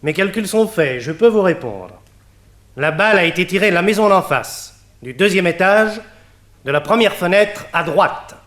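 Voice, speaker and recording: An actor playing a precise, self-assured expert assistant to a detective in a French radio serial. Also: male voice, sits high, lively intonation, normal speed, fairly bright tone, roomy sound.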